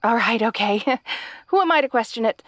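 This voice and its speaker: A woman's voice: fairly high pitch, expressive, brisk, no echo, studio quality, lightly acted. A woman playing a kind, hapless young princess in a fantasy audio roleplay, talking to the listener in a nervous, stammering, chatty way. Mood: flustered, chatty. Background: night sounds with crickets.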